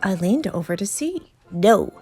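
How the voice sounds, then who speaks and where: A woman reading a children's storybook aloud as an expressive narrator. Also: medium pitch, measured pace, gravelly, breathy edge. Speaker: story reader, channel host. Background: music and sound effects.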